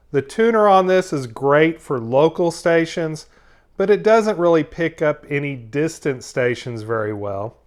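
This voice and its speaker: A man narrating a product review as its host, explaining things in a measured, methodical way. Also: on the high side, smooth.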